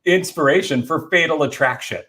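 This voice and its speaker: A man's voice: a little high, fairly quick, fairly bright tone. A man hosting a casual panel chat about films, explaining trivia with enthusiasm. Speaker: film talk show host presenting movie trivia.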